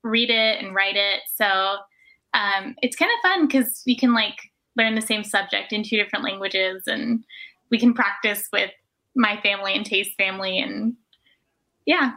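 Bright-voiced woman, thoughtful guest. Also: medium pitch. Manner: thoughtful, many um pauses. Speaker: podcast guest. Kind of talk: casual chat.

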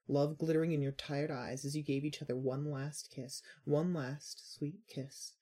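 A man narrating a dramatic second-person story in a solemn, deliberate, emphatic way. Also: fairly high pitch, dark tone.

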